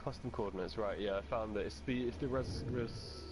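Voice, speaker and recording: A man's voice: lowish voice, brisk. A man, chatting on a gaming stream, hesitant and given to swearing rants. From England. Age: 20s. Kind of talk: casual gaming chat. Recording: heavy background noise.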